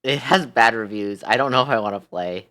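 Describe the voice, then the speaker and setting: A man's voice: mid-range voice, brisk, pushed and bright. A tired man hosting a livestream, chatting casually and thinking aloud as he goes. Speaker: livestream host.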